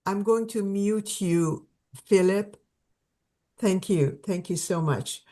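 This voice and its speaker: A woman hosts an online gathering over a video call. She speaks slowly and haltingly, restarting her sentences and leaning on fillers as she introduces a guest. Her voice sits at an average pitch. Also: mellow. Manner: hesitant, many fillers and restarts. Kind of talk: warm spontaneous welcome and introduction.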